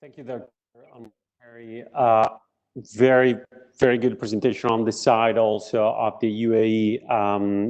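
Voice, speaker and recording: A man moderating a panel, thanking speakers and introducing the next one in a brisk, polite way over a video call. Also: lowish voice, darkish tone. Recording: muffled lo-fi call audio.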